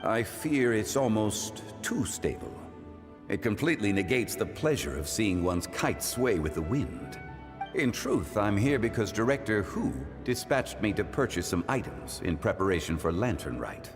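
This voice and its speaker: A man voicing a calm, formal, scholarly gentleman in a video game, measured and courteous. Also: lowish voice. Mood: courteous, musing. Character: calm, dignified, scholarly gentleman of ancient wisdom. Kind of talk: English video game voice acting. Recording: long reverb, music behind.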